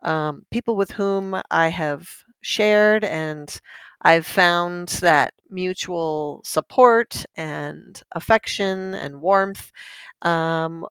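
Woman interpreter, slow n smooth, lowish voice, dry close mic. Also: no room sound. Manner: measured, with soft fillers. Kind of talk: interpreting a guest's answer into English.

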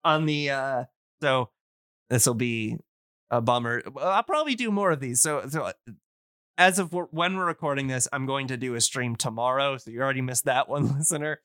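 Male podcast voice, clear and resonant, enthusiastic but halting, conversational, recorded dry. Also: medium pitch, a bit bright, no echo. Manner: halting, hesitant phrasing with repeated words. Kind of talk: casual podcast chat.

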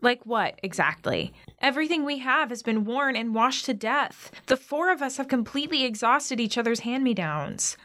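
An actress playing a self-conscious, anxious young eldest sister with an American accent, in a naturalistic modern audio drama. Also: female voice, on the high side, animated, normal speed, few pauses, fairly bright tone. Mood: exasperated. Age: young adult. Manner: self-deprecating, earnest.

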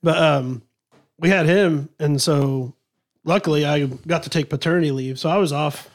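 Man, slightly high voice, hesitant storyteller on a podcast. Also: normal speed. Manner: rambling, hesitant, restarts sentences often. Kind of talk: casual podcast chat.

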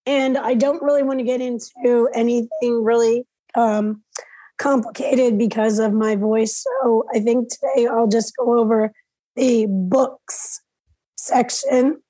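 Hoarse woman teaching over a video call. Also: a little high, steady tone, unhurried, mellow, dry recording, poor audio. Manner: clears throat often, explains each step aloud. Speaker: instructor. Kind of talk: step-by-step class demonstration.

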